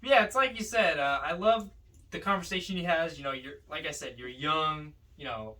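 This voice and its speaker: Male film podcaster, bright forceful tone, casual and enthusiastic, recorded at some distance. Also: on the high side, normal speed. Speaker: podcast co-host. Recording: roomy, distant from the mic.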